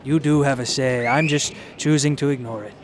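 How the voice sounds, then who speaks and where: A man's voice: medium pitch, fairly even intonation, normal speed, slight background noise, lightly acted. Male actor: nonchalant, self-satisfied trickster for radio drama, medium register, breezy delivery. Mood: smug, breezy.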